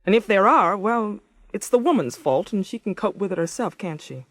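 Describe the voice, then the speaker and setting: A woman's voice: mid-range voice, animated, moderate pace, no room sound, lightly acted. An actress in a radio drama playing a conflicted, principled married woman of thirty-eight, intimate and emotionally charged. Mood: anguished, indignant. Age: 30s. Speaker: lead actress and narrator. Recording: 1980s radio drama, music behind.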